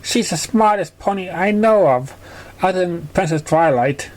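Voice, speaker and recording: A man's voice: high voice, expressive, moderate pace, pitched above the performer's own voice. A man voicing a hurt, childlike little girl pony in a plaintive story voice. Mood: hurt, downcast.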